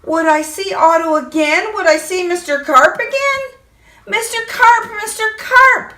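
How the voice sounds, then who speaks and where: A woman putting on a little boy's voice for a storybook, way up high and bright, words leaping around with worry, pleading and breathless. the sound comes from across an echoey room. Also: expressive, measured pace, heavily acted. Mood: frantic. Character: excited young boy, fish owner. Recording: echoey room, distant mic.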